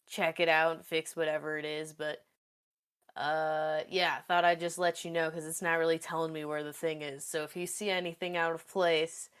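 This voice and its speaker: A woman's voice: lowish voice, a bit bright, clear, resonant tone. A woman chatting informally as a player in a tabletop roleplaying game, improvising in a hesitant, rambling way. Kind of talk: casual improvised roleplay chat.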